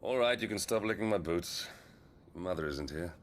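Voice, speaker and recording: An actor playing a weary, commanding middle-aged duke with a British accent, speaking in a measured way in a fantasy game. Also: male voice, low-pitched, expressive, slight background noise. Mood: dry teasing. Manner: measured, authoritative. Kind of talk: dramatic fantasy game acting.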